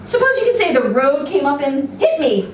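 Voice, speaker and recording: A woman's voice: high-pitched, sing-song, normal speed, pitched above the performer's own voice. A woman voicing a cheerful, sheepish male patient in a story reading, chirpy, apologetic and eager to please. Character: cheerful, sheepish, ridiculously happy man patient. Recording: roomy, distant mic, faint noise.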